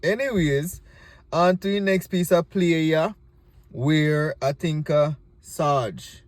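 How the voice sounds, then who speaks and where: A man's voice: on the high side, takes it slowly, no echo. A man with a Jamaican accent, casually hosting and giving comic commentary in Patois. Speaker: host introducing and commenting on stage play clips.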